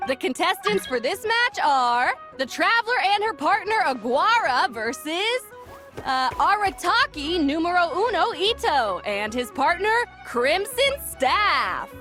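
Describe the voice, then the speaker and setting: A woman's voice: very high voice, big pitch swings, a little slow, pushed and bright, faint music behind, heavily acted. A woman voicing an upbeat tournament announcer in a video game. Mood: upbeat, formal. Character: upbeat female tournament host addressing spectators.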